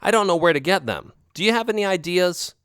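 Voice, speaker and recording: A man's voice: sits high, animated, fairly quick, fairly bright tone, smooth, no room sound, clean studio sound, voice pushed up. A man voicing a timid, worried young male cat asking nervous questions.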